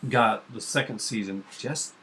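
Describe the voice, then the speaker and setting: A man's voice: fairly low pitch, a little slow, bright, forceful tone, a little hiss or hum. A man co-hosting a casual talk show, earnestly and emphatically arguing his opinions. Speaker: co-host of a comics and TV talk show.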